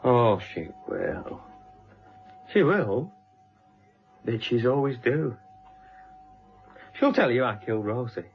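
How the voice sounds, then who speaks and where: A man's voice: mid-range voice, very animated, takes it slowly, creaky, pauses often, dull audio, soft background music, heavily acted. An actor playing a menacing, obsessive young British policeman turned woman-hating killer in a radio drama. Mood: bitter, manic. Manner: menacing, self-justifying, obsessive.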